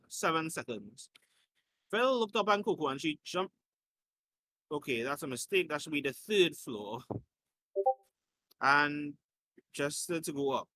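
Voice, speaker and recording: A man's voice: fairly high pitch, bright, forceful tone, dry recording. A man reading a story aloud in an informal way, as a host who slips in his own asides and corrections.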